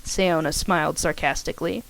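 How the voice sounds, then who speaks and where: A woman reading her own fanfiction aloud as a podfic, in a lively storytelling way. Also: deep voice, normal speed, gravelly.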